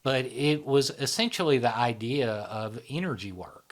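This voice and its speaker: A man hosts a relaxed, casual conversation and tells his stories loosely. He keeps checking in with "right?" and "you know?", and his voice sits in an ordinary middle pitch. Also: normal speed. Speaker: host.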